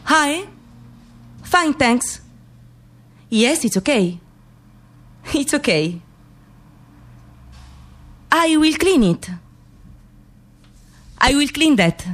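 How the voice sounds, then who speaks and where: An actress playing an excitable, gossipy immigrant woman with a Sicilian accent, telling a story in a theatrical radio monologue that mixes dialect with broken English. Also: high voice, drawn-out words, long pauses, heavily acted. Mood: eager, servile.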